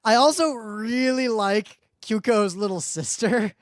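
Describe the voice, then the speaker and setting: A man's voice: sits high, unhurried, no echo, studio quality. A man talking casually as a podcast co-host. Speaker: podcast co-host reviewing a webcomic. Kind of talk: casual chat.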